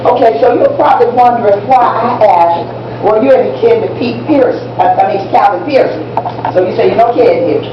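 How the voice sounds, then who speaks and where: Actress as proud, instructive American schoolteacher for a community stage play; emphatic repetition, muffled sound from far off. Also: female voice, on the high side, moderate pace, natural voice. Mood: earnest, proud. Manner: didactic, repeats and spells out key words. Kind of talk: amateur stage play acting. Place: indoor room at a public library. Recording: distant, echoey, muffled, noisy room recording.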